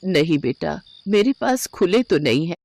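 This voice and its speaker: A woman voicing a kind, weary eighty-year-old village grandmother in an affectionate, gently reassuring way for a storybook tale. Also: fairly low pitch, lively intonation, measured pace, vocal fry, dry recording, exaggerated voice. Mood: obliging.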